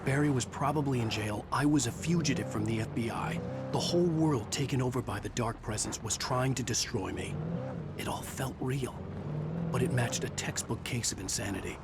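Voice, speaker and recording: An actor playing a haunted, desperate writer on the run, narrating in a brooding, measured, noir style for a video game. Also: male voice, mid-range voice, noisy background, natural voice. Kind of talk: scripted noir-style first-person narration.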